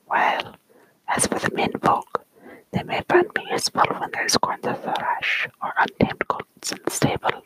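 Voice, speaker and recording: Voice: moderate pace, sharp tone, dry recording, lightly acted, poor audio. A storyteller whispering the lines of a strange, hairy little brownie who offers to work for nothing, in old-fashioned speech. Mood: earnest offering.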